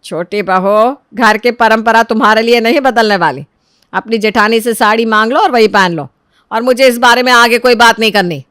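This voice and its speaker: A woman's voice: on the high side, normal speed, a bit bright, smooth, dry recording, studio quality, natural voice. A woman voicing a bossy older mother-in-law in a storybook drama, with a commanding, emphatic way of talking. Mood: stern. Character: bossy older mother-in-law, proud of her younger daughter-in-law. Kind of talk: dramatized Hindi moral storytelling.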